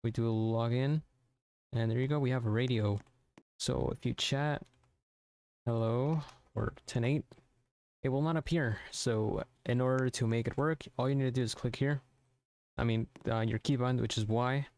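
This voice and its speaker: A man narrating a software tutorial informally, thinking aloud step by step into a close mic. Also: medium pitch, steady tone, moderate pace, pauses often, clear, resonant tone. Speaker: tutorial presenter.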